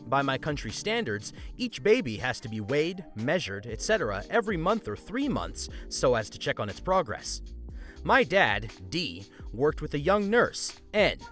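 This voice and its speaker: A man hosting a story channel, reading online stories aloud and adding his own comments in an animated way. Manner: animated, swings pitch for emphasis. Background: faint background music.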